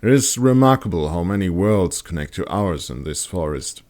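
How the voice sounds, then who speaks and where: A man's voice: low-pitched, lively intonation, normal speed, darkish tone. A man playing a bold, curious expedition leader with an assured, explanatory manner in an audiobook. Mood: fascinated.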